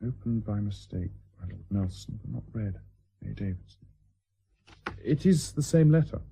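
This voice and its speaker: An actor playing a candid, dutiful young aristocratic nephew with a British accent, polite and frank, in a period radio drama. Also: male voice, fairly low pitch, lively intonation, moderate pace, vocal fry, mellow, husky, heavily acted, low-quality recording. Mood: earnest.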